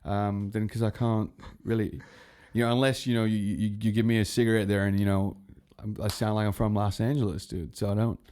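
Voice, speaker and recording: Laid-back man, low voice. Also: flat delivery, brisk, few pauses, warm tone, clear, resonant tone. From Australia. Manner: rambling, laid-back, full of fillers and restarts. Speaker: guest, music producer. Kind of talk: casual podcast chat.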